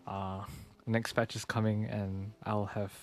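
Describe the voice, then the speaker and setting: A man's voice: lowish voice, little pitch movement, slow. A young man giving casual, chatty live gameplay commentary as a streamer, thinking aloud in a laid-back way. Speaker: game streamer, virtual YouTuber. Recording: game music behind the voice.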